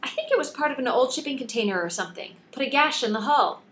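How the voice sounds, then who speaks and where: A woman's voice: sits high, animated, fast talker, fairly bright tone, voice pushed up. A woman reading the part of an anxious, apologetic young female mechanic's assistant in an audiobook, with a plaintive manner. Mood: defensive. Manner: plaintive, wailing.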